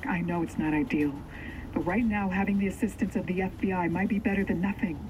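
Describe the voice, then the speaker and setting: A woman acts a pragmatic fugitive in an audio drama, earnest and urgent. She reasons firmly, with clear vehicle noise and ambience behind her. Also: on the low side, steady tone, fast, natural voice. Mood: pleading, reasoning. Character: earnest, pragmatic fugitive partner, anxious but firm. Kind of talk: scripted audio drama acting.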